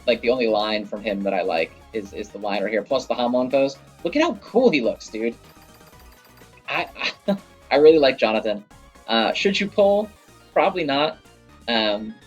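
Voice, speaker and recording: Male gaming creator, mid-pitched voice, casual rambling fan commentary with mild swearing, game music underneath. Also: muffled recording. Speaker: gaming video creator and host. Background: video game music.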